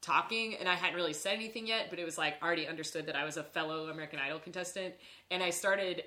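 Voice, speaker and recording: A woman's voice: fairly low pitch, fast talker, bright, forceful tone. A young woman chatting casually as a podcast host, speaking informally and peppering her sentences with "like". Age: young adult. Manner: informal, frequent "like" as filler. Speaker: podcast host and improv performer. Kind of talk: casual conversational chat.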